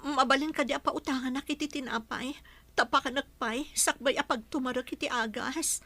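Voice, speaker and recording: A woman's voice: high-pitched, fairly even intonation, brisk, bright, forceful tone, dry recording, heavily acted. A woman playing an anxious, long-suffering young mother in a radio drama, plaintive and earnest. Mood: pleading.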